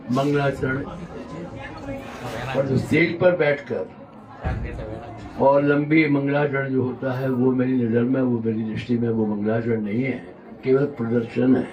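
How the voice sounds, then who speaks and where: A man with a sore throat giving a reflective, digressive devotional discourse as a spiritual teacher. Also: mid-range voice. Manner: reflective, digressive, explains terms. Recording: roomy hall audio, mic at a distance.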